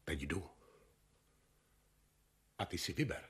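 An actor playing a stern, scheming older noble father in a radio drama, coldly persuasive and often sinking to a hushed whisper. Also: animated, heavily acted. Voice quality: very husky, deep. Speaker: father of the bride.

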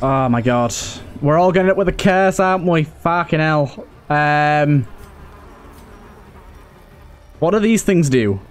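A man with a slightly high-pitched voice hosts a gaming video. He talks casually and thinks aloud as he plays, with the odd mild swear word. A faint buzzing game ambience sits behind his voice. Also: animated, normal speed, slight background noise. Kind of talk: casual let's play commentary.

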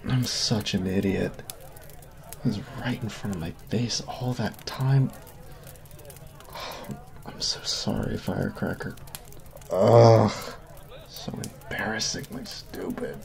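Low-pitched, slow-spoken goofy college jock, earnest and dark-toned, close-miked. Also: male voice, gravelly. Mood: flustered. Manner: earnest, flustered, apologetic. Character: sweet goofy male college jock, best friend. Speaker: voice actor. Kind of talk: ASMR roleplay. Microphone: close binaural mic.

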